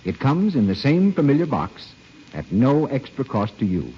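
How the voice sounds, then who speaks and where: A man announcing on an old-time radio show, reading a scripted commercial in a polished, emphatic sales pitch. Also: big pitch swings, normal speed, breathy edge. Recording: muffled old radio broadcast.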